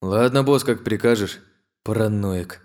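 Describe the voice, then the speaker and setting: A man's voice: fairly low pitch, measured pace, darkish tone, natural voice. A narrator voicing a wary, defensive Russian man with a sarcastic streak.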